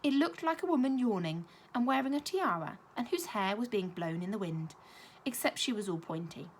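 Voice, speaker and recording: A primary school teacher reads a children's novel aloud, her voice full of animated, rolling intonation. She tells the story in a clear, engaging way, with faint room ambience behind her. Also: medium pitch, moderate pace, a little hiss or hum. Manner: expressive storytelling with lively intonation.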